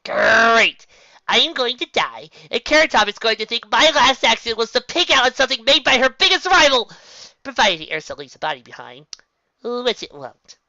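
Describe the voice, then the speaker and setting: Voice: medium pitch, very animated, moderate pace, pushed and bright, no echo, dull audio, pitched above the performer's own voice. A performer voicing a brash, dramatic young female unicorn, proud and easily exasperated, in a theatrical storybook reading. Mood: exasperated, shouting. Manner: theatrical, exclamatory.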